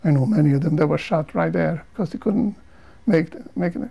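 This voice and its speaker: An older man from Romania, whose first languages are Hungarian, Romanian, Yiddish and Hebrew, giving a measured, halting interview testimony with frequent restarts. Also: fairly high pitch, vocal fry, mellow, breathy edge.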